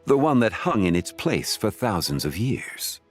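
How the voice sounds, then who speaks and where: A man's voice: on the low side, very animated, a little slow, soft background music. An actor playing a composed, erudite, enigmatic man in a fantasy video game, speaking formally and eloquently. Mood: composed, knowing. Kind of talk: video game character dialogue.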